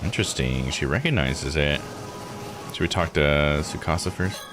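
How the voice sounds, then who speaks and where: A man's voice: rumbling low, expressive, normal speed, background noise. A man giving casual, laid-back game commentary as a streamer, musing aloud as he plays. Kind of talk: casual let's-play commentary.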